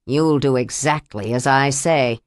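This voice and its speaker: A woman's voice: very deep voice, dry recording, studio quality, pitched below the performer's own voice. A woman doing a cold, menacing man. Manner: soft, menacing, deliberate. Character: cold, controlling abusive man.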